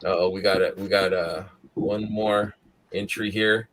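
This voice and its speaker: Male live-show host, low-ish voice, hoarse and scratchy, loose rambling delivery. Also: fairly even intonation, unhurried. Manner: rambling, tired but upbeat. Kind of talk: casual live-stream chat.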